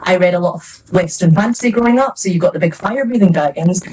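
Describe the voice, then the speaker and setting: A woman's voice: fairly low pitch, quick pace, darkish tone, distant mic, a little hiss or hum, low-quality recording. A Scottish woman talking casually on a festival panel, chatty and rambling, laughing often. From Scotland. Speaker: author on a panel. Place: festival venue.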